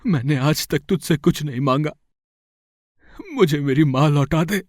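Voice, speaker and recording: A man's voice: a little high, unhurried, dry recording, clean studio sound, close to an everyday voice. A man acting a humble, pleading poor fruit seller. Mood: tearful pleading. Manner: pleading, emotional. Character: poor, despairing young male fruit seller, humble and devoted. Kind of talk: dramatised moral story acting.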